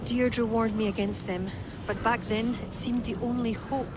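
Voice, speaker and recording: A woman playing a solemn, regretful druid, speaking in earnest, measured, lyrical phrases in a dramatic video game. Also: fairly high pitch, steady tone, natural voice. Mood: grave. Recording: clear outdoor ambient noise behind voice.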